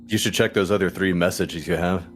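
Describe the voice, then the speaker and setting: A man's voice: low-pitched, fairly even intonation, a little fast, faint music behind. A man playing a tabletop roleplaying game, chatting casually with the others at the table.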